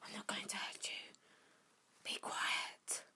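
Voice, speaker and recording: An actress playing a tough, urgent woman survivor in an audio drama, speaking tersely and commandingly, often hushed. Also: slow pace, whispered. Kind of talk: audio drama acting with first-person narration.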